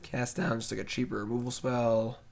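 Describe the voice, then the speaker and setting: A man's voice: medium pitch, flat delivery, moderate pace, creaky, darkish tone. A man streaming a card game live, casually thinking aloud about his plays and criticizing his own mistakes. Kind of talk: casual live gameplay commentary.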